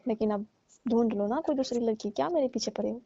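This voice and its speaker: A woman with a fairly high yet very mellow voice talks on the phone in a detached, dismissive way. Her delivery is casual, and the call recording is muffled and lo-fi. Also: quick pace, gravelly, dry recording. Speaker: call partner breaking off a relationship.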